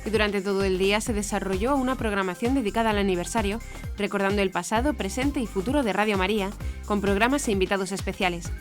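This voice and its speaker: A woman reads scripted news. Her voice sits at an average pitch for a woman, and her delivery is formal and measured. Light music plays behind her. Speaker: news presenter. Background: background music.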